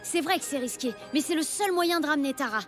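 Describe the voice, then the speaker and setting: A woman voicing a bold, eager, resourceful young boy who takes charge in a French animated series. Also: sits high, fast talker, faint music behind, close to an everyday voice. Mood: confident, scheming.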